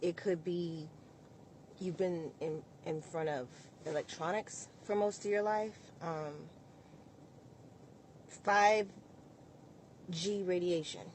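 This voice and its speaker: A woman of forty-one giving a casual reaction, baffled and halting in her delivery. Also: lowish voice, fairly even intonation, darkish tone, a little hiss or hum.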